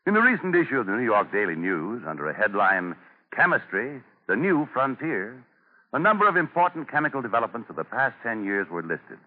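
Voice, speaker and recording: A man reading scripted 1940s radio advertising in an earnest, declamatory announcer's manner. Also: mid-range voice. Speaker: commercial announcer. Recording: tinny old 1940s radio broadcast.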